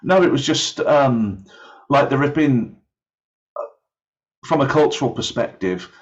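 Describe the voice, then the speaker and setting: A man's voice: mid-range voice, lively intonation, unhurried, muffled recording. A man explaining his points through examples in an online discussion about his field, with a hesitant, searching delivery. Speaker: aviation English course developer.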